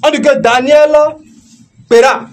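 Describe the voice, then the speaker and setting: A man with a Beninese French accent arguing in a heated political debate, often halting and repeating his words. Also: extremely high pitch, steady tone.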